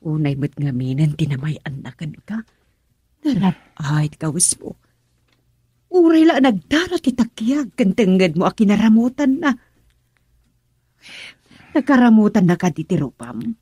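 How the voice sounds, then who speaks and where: A woman's voice: medium pitch, animated, unhurried, pauses often, dark tone, husky, dry recording, studio quality. An actress playing a stern, worried middle-aged mother scolding her son, in Ilocano radio drama style with an Ilocano accent.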